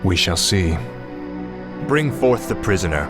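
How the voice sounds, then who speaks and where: A haughty death knight who sneers at his enemies and barks orders, his voice low and hard. Loud game music rolls under every line. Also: expressive, a little slow. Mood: cold. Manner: cold, arrogant, commanding. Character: arrogant male death knight, fallen prince. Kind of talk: video game cutscene acting.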